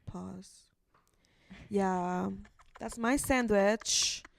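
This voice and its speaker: A woman in a casual podcast chat, playful and quick with dramatic gasps and quips. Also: medium pitch, vocal fry.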